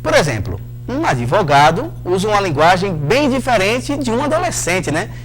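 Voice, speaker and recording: Upbeat high-voiced male lesson host. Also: very animated, moderate pace, slight background noise. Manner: upbeat, addresses the viewer directly. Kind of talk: scripted educational narration.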